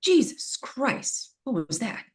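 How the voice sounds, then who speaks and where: Woman as a husky, swooping, stubborn police detective. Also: a little high, big pitch swings, slow pace, full character voice. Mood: startled, barking. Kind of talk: screenplay table read.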